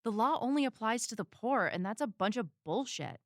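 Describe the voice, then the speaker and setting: A woman's voice: on the high side, lively intonation, brisk, dry recording, clean studio sound. A woman playing a guarded, principled and blunt activist in a detective audio drama. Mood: defiant. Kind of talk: naturalistic audio drama acting.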